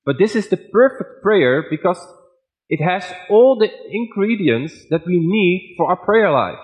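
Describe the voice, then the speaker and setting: A man with a Dutch accent, a guest preacher giving a sermon in simple, plain English at a measured pace. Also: sits high, big pitch swings, muffled recording.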